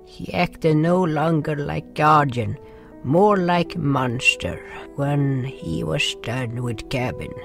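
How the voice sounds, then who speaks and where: A man playing a gentle nonhuman swamp creature who narrates a fantasy audio drama haltingly, in broken grammar with dropped articles. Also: a little high, vocal fry. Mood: solemn storytelling. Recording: music behind the voice.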